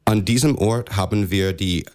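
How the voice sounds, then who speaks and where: A man's voice: on the low side, moderate pace. A middle-aged man with an American accent, a native English speaker answering radio interview questions in non-native, slightly ungrammatical phrasing. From rural upstate New York, USA. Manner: non-native German with grammatical slips. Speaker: theatre director, interview guest. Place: radio studio.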